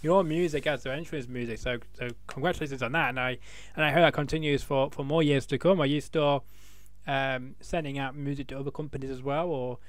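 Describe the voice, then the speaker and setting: A man's voice: medium pitch, fairly even intonation, a little fast, no room sound. A man hosting a casual interview, chatty, with stumbling restarts and frequent laughs. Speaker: podcast host.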